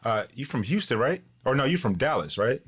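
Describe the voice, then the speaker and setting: A man's voice: mid-range voice, sing-song, fairly quick, a bit bright, husky. Slangy man hosting, mid-range voice. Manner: laid-back, slangy, familiar talk. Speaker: podcast co-host. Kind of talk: casual chat.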